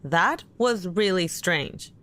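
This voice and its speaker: A woman playing a worried, caring and suspicious character in an audio drama, speaking naturally and earnestly with gentle insistence. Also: fairly low pitch, lively intonation, takes it slowly. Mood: uneasy, curious.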